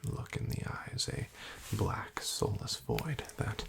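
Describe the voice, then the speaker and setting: Slow whispering man, gravelly. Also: lowish voice. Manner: slow, hushed and wryly deadpan, with soft fillers. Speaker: ASMR roleplay host playing a doctor. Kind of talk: whispered ASMR roleplay.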